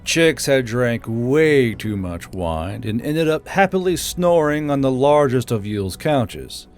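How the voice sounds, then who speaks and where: Casting: male audiobook narrator and host, mid-pitched, informal storytelling, faint music bed. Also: animated, slow pace, a little mellow.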